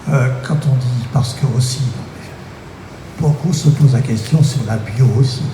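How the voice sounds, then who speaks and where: A man with a mid-pitched voice hosts a casual radio chat, rambling and repeating himself as he introduces his guest. The room is echoey with a long reverb, and noise is clearly heard behind him. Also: moderate pace. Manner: chatty, loose and repetitive. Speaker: radio host.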